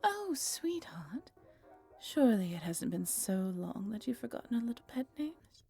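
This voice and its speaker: A woman's voice: medium pitch, expressive, brisk, creaky, slightly rough, soft background music. A woman playing a doting, prim immortal mother. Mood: fond scolding. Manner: soft whispering, affectionate and chiding. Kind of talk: whispered audio roleplay.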